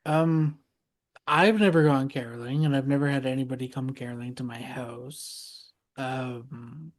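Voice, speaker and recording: A man's voice: mid-range voice, fairly even intonation, a little slow. Laid-back man, casual and sweary. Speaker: podcast co-host. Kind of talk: casual chat.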